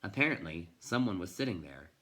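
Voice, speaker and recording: A man reading a storybook aloud like a teacher, with expressive narration in measured phrases. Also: deep voice.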